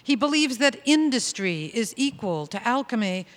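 An actress playing a suddenly aged sorceress, giving a grave, deliberate dramatic monologue in stage comedy theatre. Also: female voice, a little high, big pitch swings. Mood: disdainful lament. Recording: live theatre hall with long reverb. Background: music.

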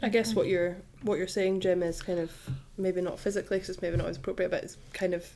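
A woman's voice: fairly low pitch, fairly even intonation, fast, gravelly, warm tone, a little hiss or hum. A woman from Edinburgh, chatting casually as co-host of a podcast and laughing easily. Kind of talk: casual conversation.

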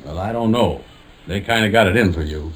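A man's voice: sits low, a little fast, husky. An actor playing an easygoing, blunt, girl-chasing lodge brother in a 1950s radio sitcom, with folksy, ungrammatical speech and pompous big words. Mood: blunt warning. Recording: slightly noisy 1950s radio recording.

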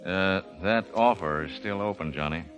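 An actor playing a shrewd, confident racket boss with a familiar, persuasive, slangy manner in a 1930s radio drama. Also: male voice, deep voice, moderate pace, fairly bright tone, dull audio. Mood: persuasive, businesslike. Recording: old 1930s radio broadcast, faint music.

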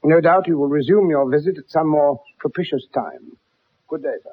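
A man's voice: on the high side, normal speed, breathy edge. An actor playing a small, guarded, politely evasive man with a courteous, formal manner in a radio drama. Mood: guarded courtesy. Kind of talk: 1960s radio drama acting. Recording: thin, narrow old radio broadcast.

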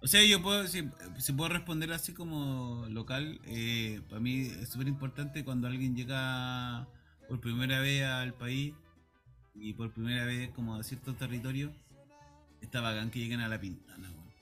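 A man's voice: medium pitch, normal speed, bright, forceful tone, faint music behind. A Chilean man co-hosting a radio chat, slangy and laughing often.

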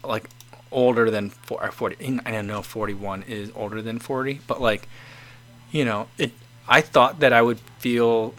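A man's voice: on the low side, fairly bright tone. A man in his forties casually chatting as host, reading out viewer comments and rambling with many restarts. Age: 40s.

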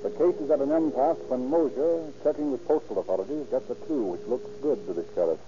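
Male narrator for vintage radio crime drama, mid-pitched, dull recording, clear music bed. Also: fairly quick. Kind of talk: scripted 1930s-40s radio crime narration.

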